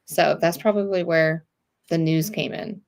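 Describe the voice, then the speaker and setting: A woman co-hosts a casual live stream and keeps the talk going with agreeable murmurs. Her voice sits somewhat low for a woman. She speaks in an easy, conversational way. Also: measured pace, gravelly. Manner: agreeable, frequent murmured backchannels. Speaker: co-host of a live sewing stream. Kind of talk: casual chat.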